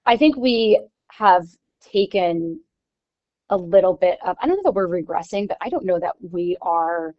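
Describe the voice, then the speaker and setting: A woman's voice: on the low side, animated, normal speed. A woman speaking casually as an interview guest, candid and informal, thinking aloud as she goes, on slightly lo-fi audio. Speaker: newspaper columnist, interview guest.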